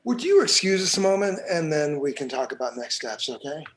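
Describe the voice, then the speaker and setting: An actor in a screenplay table read playing a guarded, cynical police detective, brisk and matter-of-fact. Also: male voice, fairly high pitch, very animated. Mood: businesslike.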